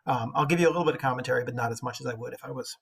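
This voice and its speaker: Husky man teaching casually. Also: medium pitch. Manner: informal, slangy, halting. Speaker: English literature teacher. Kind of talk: casual explanatory lesson commentary.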